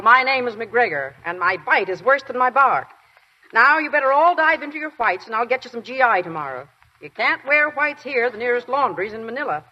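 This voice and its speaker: An actress playing a gruff, commanding army nurse captain in a 1940s radio drama, brisk and businesslike. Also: female voice, a little high, pushed and bright, full character voice. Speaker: commanding officer. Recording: muffled 1940s radio broadcast.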